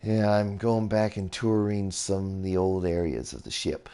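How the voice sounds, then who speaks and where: A young man casually rambling through a game tour as a streamer, his voice a little raspy, often reacting with surprise. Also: low voice, steady tone, moderate pace, dark tone. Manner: rambling, easily impressed, mild swearing. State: slightly raspy voice. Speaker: gaming streamer. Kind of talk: casual game commentary while touring builds.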